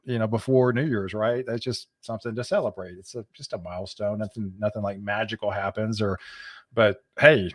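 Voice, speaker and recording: A man's voice: on the low side, fairly even intonation, a bit bright. A middle-aged man from Texas, hosting a weather channel livestream and chatting casually with viewers. He stumbles and repeats words as he talks. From Texas, USA. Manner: halting, repeats words, chuckles often.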